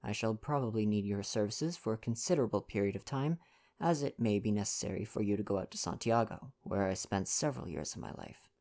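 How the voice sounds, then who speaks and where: Wealthy letter writer read by a man: anxious, formal, measured urgency, storybook narration. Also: fairly low pitch, lively intonation, mellow. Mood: urgent.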